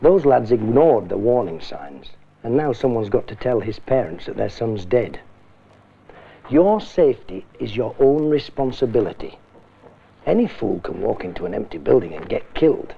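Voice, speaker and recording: A stern, middle-aged British schoolmaster lecturing a class. His gravelly voice swings widely in pitch as he questions and admonishes with blunt emphasis. The sound is thin and muffled, like an old public information film. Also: sing-song, moderate pace, heavily acted. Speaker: teacher.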